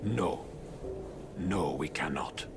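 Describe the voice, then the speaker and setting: A man's voice: a bass voice, expressive, slow pace, creaky, over music, exaggerated voice. An actor playing a grave old mutant master and father in a video game, solemn and formal. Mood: resigned. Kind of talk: video game cartoon acting.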